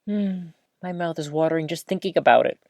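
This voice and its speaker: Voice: mid-range voice, brisk, gravelly, no echo, clean studio sound, natural voice. A performer playing an earnest, eager novice host of a small-town community radio show, chatty and folksy, talking straight to the listeners in an audio drama. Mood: chatty, dramatic. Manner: folksy, chatty, expressive, addresses listeners directly. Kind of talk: scripted audio drama as local radio broadcast.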